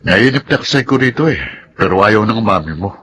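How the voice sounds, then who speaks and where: An actor playing a restless, wary husband in a Filipino radio drama. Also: male voice, on the low side, lively intonation, a little fast, fairly bright tone, husky. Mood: wary, confrontational.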